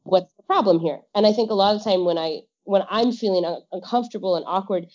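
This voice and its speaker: Woman, casual chatter, frequent laughs, trails off, muffled low-fi audio. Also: fast, darkish tone. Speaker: guest; English teacher. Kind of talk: casual podcast chat. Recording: dull lo-fi audio.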